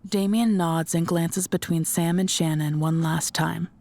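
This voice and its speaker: A woman's voice: on the low side, steady tone, unhurried, darkish tone. A woman reading scripted third-person narration for an audio drama.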